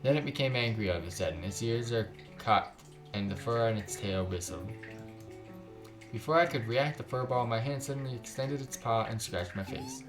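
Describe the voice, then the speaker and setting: A man's voice: medium pitch, animated, normal speed. Man reading a visual novel, music behind. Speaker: gaming video host. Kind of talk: reading a visual novel aloud with casual reactions.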